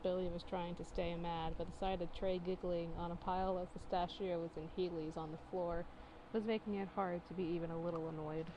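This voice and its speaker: A woman's voice: lowish voice, little pitch movement, brisk, background noise. A woman doing a casual dramatic reading of fan fiction, giggly and incredulous, breaking off for mocking asides.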